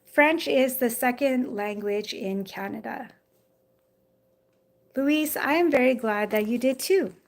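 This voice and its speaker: A woman's voice: on the high side, slow pace, creaky. A young woman hosting a casual phone live stream, chatting with viewers in a rambling way and often saying "like" and "you know.". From Jamaica. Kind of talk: casual live chat reading viewer comments. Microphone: phone held in hand.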